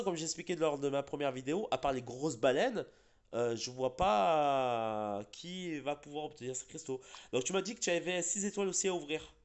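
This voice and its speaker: A man hosting casual gaming chat, a bit congested from being sick, rambling with fillers and restarts. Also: on the high side. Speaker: gaming channel host.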